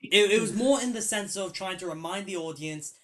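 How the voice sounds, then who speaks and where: Animated young man hosting a chat. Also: sits high, a little fast, a crowd in the background. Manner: hesitant, many restarts and fillers, animated swings in loudness. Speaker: podcast host. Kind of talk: casual film discussion.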